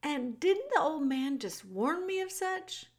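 A woman voicing a simple, rough-spoken young serving woman with slow, blunt rustic speech. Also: high-pitched, big pitch swings, voice pushed up. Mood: plain.

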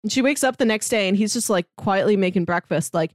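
A woman co-hosting a podcast in casual chat, telling stories animatedly, acting out quotes and slipping in quick asides. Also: medium pitch, no echo, studio quality. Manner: animated storyteller, acts out quotes, quick asides, laughs often. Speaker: podcast co-host.

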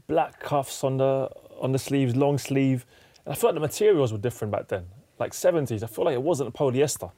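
A male podcast co-host with a mid-pitched voice talks quickly and casually. His questions run loose and conversational, sprinkled with filler words. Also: dark tone. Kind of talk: casual interview chat.

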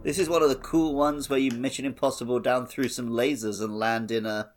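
A man's voice: medium pitch, fairly even intonation, fairly quick, faint music behind. A man co-hosting a podcast, chatting casually and jokily in an informal way. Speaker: podcast co-host. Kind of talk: casual chat, game playalong podcast.

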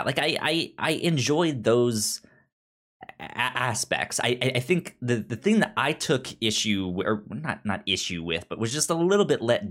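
A man's voice: fairly low pitch, fairly bright tone. A man co-hosting a podcast in casual review chat, often repeating and restarting words and acting out imagined lines as he explains. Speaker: podcast co-host.

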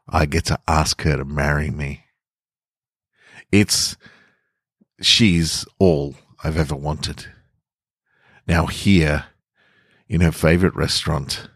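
Man, low-pitched voice, dry close sound, podcast host, storyteller reading short fiction. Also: slightly rough, dry recording. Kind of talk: chatty intro and dramatic first-person story narration.

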